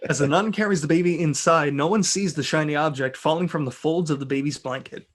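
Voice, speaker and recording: A man reading script narration and stage directions aloud in an amused, theatrical way with joking asides. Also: a little high. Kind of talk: dramatic reading of a film script.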